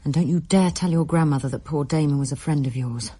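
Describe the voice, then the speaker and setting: A protective mother in a radio play, in a low, soft-edged husky voice. She is firm and maternal. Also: fast talker. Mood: stern. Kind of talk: radio drama acting.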